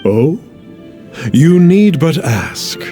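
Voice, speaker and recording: Lofty game sage, archaic wording, slow and mellow, swooping intonation, music underneath. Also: male voice, a little high, very animated, full character voice. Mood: pompous, smug. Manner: lofty, archaic, deliberate speech. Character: pompous self-styled immortal master, a grown man. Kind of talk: video game character acting.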